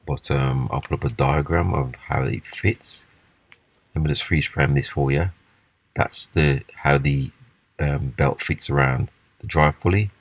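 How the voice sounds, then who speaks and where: A man with a husky voice giving a casual, unscripted, step-by-step walkthrough of hands-on repair work. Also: extremely low pitch, fairly even intonation, unhurried. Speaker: repair demonstrator.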